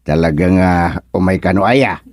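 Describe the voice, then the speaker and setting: An actor playing an easygoing older village husband in a comic radio serial, drawling and chuckling with lots of grunts. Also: male voice, deep voice, moderate pace, darkish tone, no echo, studio quality, natural voice. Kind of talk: comic radio drama acting.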